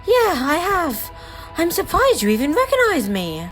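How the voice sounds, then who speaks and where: A friendly lady switcher engine acted by a woman, coy and politely teasing. The voice is high and lively, in audio drama style with faint music behind. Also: moderate pace, exaggerated voice.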